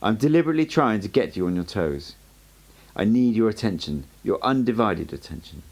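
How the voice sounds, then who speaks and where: A man voicing an old male sorcerer teacher who speaks calmly and wryly, in a measured, instructive way. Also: on the low side, creaky, exaggerated voice. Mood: insistent.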